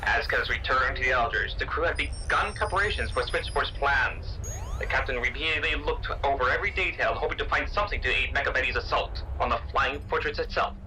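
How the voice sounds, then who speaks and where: A man's voice: mid-range voice, brisk, sharp tone, slight background noise, low-quality recording. A man narrating a sci-fi audio serial as a dramatic storyteller. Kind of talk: scripted narration.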